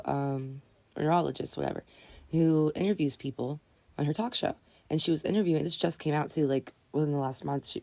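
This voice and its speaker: Raspy young woman just out of bed, low fry-heavy voice, rambling and correcting herself, dry close sound. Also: dark tone, dry recording. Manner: rambling, self-correcting, earnest. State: just woken up. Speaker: livestream host. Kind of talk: casual live chat.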